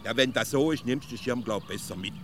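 A man's voice: mid-range voice, fast, slight background noise, natural voice. A man playing a wry, practical provincial Swiss philosopher in a comic radio play, with a Swiss German accent and a questioning, insistent manner that turns nettled easily. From Switzerland. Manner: questioning, matter-of-fact, dryly insistent.

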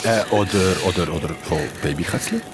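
A man acting an excitable, slightly slow-on-the-uptake young friend in an audio drama, hesitant and colloquial. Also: low-pitched, over loud music, lightly acted. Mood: puzzled, casual.

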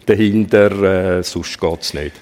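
A man's voice: low-pitched, steady tone, slow pace, darkish tone. Hesitant Swiss German man telling stories. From Switzerland. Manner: hesitant, many fillers. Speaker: former military pilot, guest. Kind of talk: casual storytelling.

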